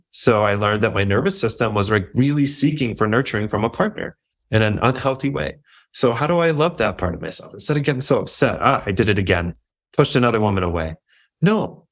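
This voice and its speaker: A man from New Jersey with a New Jersey accent, a coach talking earnestly and reflectively over a video call as a guest. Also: on the low side. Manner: earnest, reflective, quotes himself in animated voices. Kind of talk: casual video call conversation.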